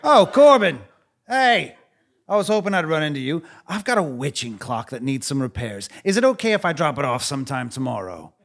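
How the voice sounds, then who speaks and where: A man's voice: fairly high pitch, sing-song, a little fast, fairly bright tone. A man acting a nervous, bumbling court jester in a comic stage play, hesitant and theatrical. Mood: uneasy.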